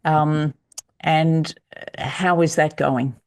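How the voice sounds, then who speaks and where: A man hosting an interview, asking questions in a measured, polite way. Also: a little high, fairly even intonation. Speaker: interviewer, host.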